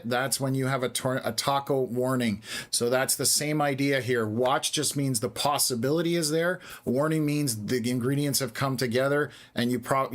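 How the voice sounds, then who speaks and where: A man hosting a weather livestream from Canada. He answers viewer questions in a casual, unhurried way with many filler words. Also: medium pitch.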